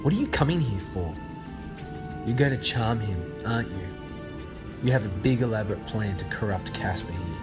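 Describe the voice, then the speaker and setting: An actor playing an earnest time-travelling professor in an audio drama, with a theatrical, emphatic delivery. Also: male voice, lowish voice, lively intonation, normal speed, lightly acted. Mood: defiant. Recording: music behind the voice.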